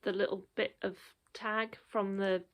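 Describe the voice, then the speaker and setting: A woman's voice: medium pitch, little pitch movement, measured pace. A woman in her thirties chatting casually through a show-and-tell of her cross stitch projects, rambling with frequent ums and a little out of breath.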